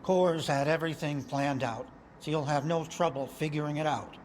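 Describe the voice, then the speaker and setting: A man's voice: a little high, moderate pace, natural voice. Earnest male scientist played by a man, noisy surroundings. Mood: explaining, helpful. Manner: earnest, explanatory. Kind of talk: video game cutscene acting.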